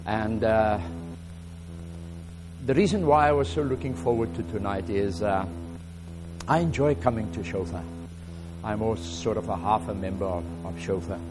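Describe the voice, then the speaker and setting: A man with an Afrikaans first language and a South African accent, preaching a relaxed, halting sermon with many fillers and reading scripture aloud more loudly. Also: on the low side, music in the background, poor audio. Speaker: guest preacher.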